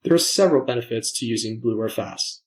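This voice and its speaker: A man presenting a software demo in scripted narration, measured and explanatory, enunciating his words. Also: medium pitch, poor audio.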